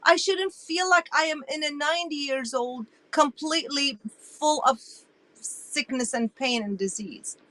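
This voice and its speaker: Animated woman in her sixties from Iraq. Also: sits high, a little slow, dry recording, poor audio. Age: 60s. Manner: animated and emphatic, with frequent restarts. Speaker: guest. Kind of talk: casual interview storytelling.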